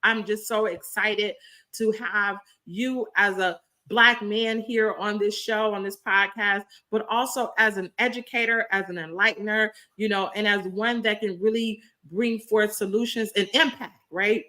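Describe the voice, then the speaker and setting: Female podcast host, slightly raspy, bright tone, effusive preacher-like delivery. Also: mid-range voice, steady tone, unhurried. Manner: effusive, repetitive, preacher-like cadence. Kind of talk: enthusiastic podcast intro.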